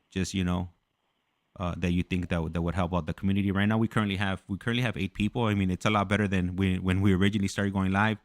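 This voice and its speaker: A man hosting a casual live talk, speaking in a hesitant, halting way and often repeating his words. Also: low voice, no echo. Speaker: host of a live prison call podcast.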